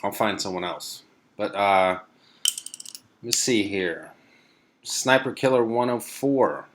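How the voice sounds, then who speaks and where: A young man from Sweden with a lowish voice, chatting on a live stream. He reads out viewer comments and answers them in an easygoing, meandering way, stopping for plenty of ums and uhs. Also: slow pace, slight background noise. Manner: relaxed and rambling, many fillers. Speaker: car vlogger hosting a live stream.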